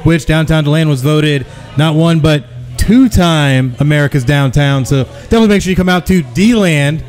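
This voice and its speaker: A man's voice: fairly high pitch, normal speed, darkish tone, faint music behind. Upbeat man hosting a sports podcast. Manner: upbeat, promotional, joking. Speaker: podcast host. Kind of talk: casual sports talk show. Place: sports bar taproom. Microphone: stand mic with pop filter.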